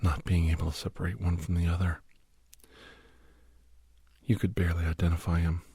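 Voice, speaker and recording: A man tells a horror story in breathy ASMR whispers, solemn, dramatic and deliberate, close to the microphone. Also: low voice, little pitch movement, warm tone, no echo. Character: male doctor solemnly recounting a werewolf horror tale.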